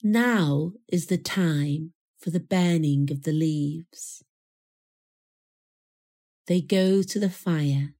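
A woman reciting a poem in a measured, solemn manner with deliberate pauses. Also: low-pitched, dark tone. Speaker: poetry reader. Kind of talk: poetry recital.

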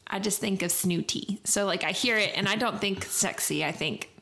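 A woman's voice: mid-range voice, moderate pace, bright, forceful tone. A young woman in casual chat, talking in a teasing, chatty way and laughing as she talks.

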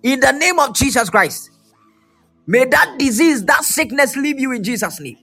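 Hoarse high-voiced preacher. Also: male voice, big pitch swings, moderate pace, soft background music. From Ghana. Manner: fervent, repetitive, shouted declarations. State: hoarse, losing his voice. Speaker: prophet preacher. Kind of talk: prophetic sermon with prayer.

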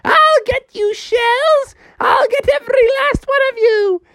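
An adult storyteller voicing a proud, greedy snow woman who sings, in a shrill, squealing put-on voice during an improvised children's story. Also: unhurried, fairly bright tone, exaggerated voice. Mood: gloating.

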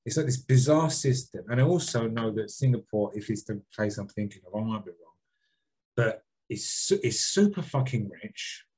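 A man's voice: fairly low pitch, lively intonation, moderate pace, darkish tone, breathy edge, low-quality recording. A man co-hosting a podcast, reading out a listener email and then rambling, hedging and swearing freely in casual chat. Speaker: podcast co-host.

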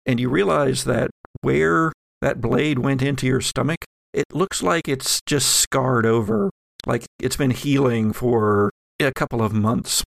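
A man with noticeable fry in the voice narrates a horror tabletop game as its game master. The delivery is improvised and halting, and the sound is close and dry. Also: medium pitch, no room sound. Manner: halting, thinking aloud as they narrate.

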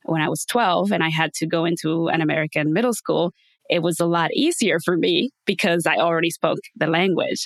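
Podcast host: woman from Mexico, lowish voice, dry close sound, friendly. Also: dry recording. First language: Spanish. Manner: friendly, laughs easily. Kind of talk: podcast interview.

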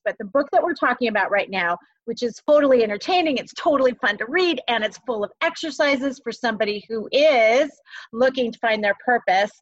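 A woman's voice: fairly high pitch, a bit bright, no echo. A woman hosting an interview in an enthusiastic, gushing way, laughing easily. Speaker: podcast host. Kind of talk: casual interview chat. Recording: dull, dry lo-fi sound.

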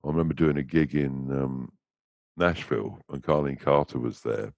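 Very deep gravelly English man. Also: moderate pace, a little mellow, no echo, highs cut off. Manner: rambling, anecdotal, many restarts. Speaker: interview guest, musician. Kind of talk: casual chat.

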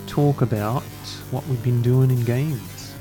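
A hoarse, slightly hungover man co-hosting a podcast, chatting wryly and teasing his co-host. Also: mid-range voice, slow, mellow, soft background music, poor audio. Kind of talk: casual chat about games.

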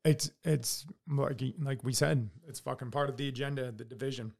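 A man's voice: mid-range voice, fairly even intonation, normal speed, vocal fry, a little mellow. Blunt, swearing American man. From United States. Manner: blunt, heavy swearing, many restarts. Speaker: podcast co-host. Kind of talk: casual opinion chat.

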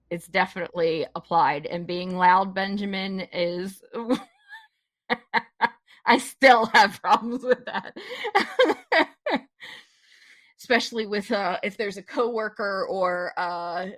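A woman's voice: mid-range voice, fairly bright tone, no room sound, clean studio sound. A woman guesting on a podcast, chatting casually and laughing often. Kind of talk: casual chat.

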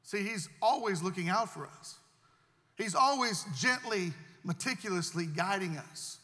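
A man's voice: sits high, lively intonation, measured pace, bright, forceful tone, big-room sound. A man preaching a sermon as pastor, earnest and repeating himself as he builds each point.

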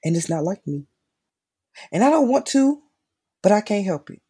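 A man performs a conflicted, lovestruck fact checker in a stage monologue. His voice is dark and raspy. He is intense and pleading, leaning hard on his words as he tries to stay rational. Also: sits high, very animated, moderate pace, exaggerated voice. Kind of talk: dramatic monologue reading.

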